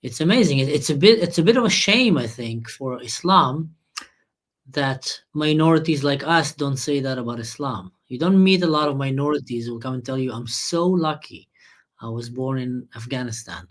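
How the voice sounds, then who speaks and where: A man's voice: mid-range voice, normal speed. A man from Israel who hosts an interview and talks in an earnest, emphatic way. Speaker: interviewer, host. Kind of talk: casual interview conversation.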